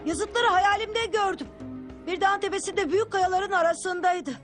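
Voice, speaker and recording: A woman voicing an excitable, earnest young boy adventurer in a Turkish-dubbed cartoon. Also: extremely high pitch, moderate pace. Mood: eager, insistent. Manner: eager, earnest, exclaiming. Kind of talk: animated cartoon dubbing. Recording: loud music behind.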